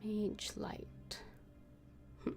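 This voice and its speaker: A woman game streamer casually reading game text aloud and muttering low-key asides. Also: very slow. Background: game music, keyboard typing.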